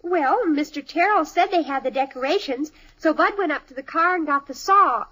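An actress playing an eager, tender-hearted little girl in an old radio drama, earnest and excitable. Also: sits high, fairly quick. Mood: proud. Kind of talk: 1950s radio drama acting. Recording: old radio broadcast recording.

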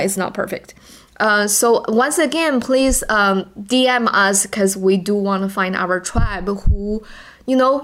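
A woman's voice: medium pitch, fairly bright tone. A woman with a Chinese accent, English not her first language, co-hosting a casual podcast and often restarting words as she searches for phrasing. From China. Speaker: podcast co-host.

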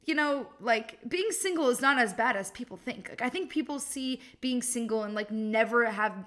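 A woman's voice: on the high side, moderate pace, a bit bright. A woman in her twenties hosting her own podcast, chatting casually and self-mockingly with frequent laughs and fillers. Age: 20s. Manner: chatty, self-mocking, laughs often, many likes and ums. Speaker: podcast host. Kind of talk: casual solo podcast chat.

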